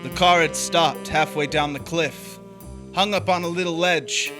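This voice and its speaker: An actor playing an uneasy, guilt-ridden drifter in a noir stage drama, confessing his crime in a halting way. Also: on the high side, steady tone, music in the background, lightly acted. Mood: grim, recounting. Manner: hesitant, halting in dialogue.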